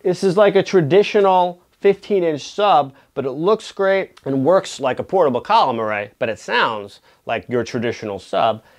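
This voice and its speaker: Male product reviewer, slightly high-pitched voice, casual explanatory delivery, conversational check-ins. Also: animated, moderate pace, few pauses.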